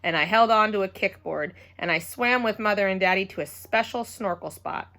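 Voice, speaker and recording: A woman reading a children's book aloud as a storyteller, expressive and dramatic, telling it as the child narrator. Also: medium pitch, normal speed.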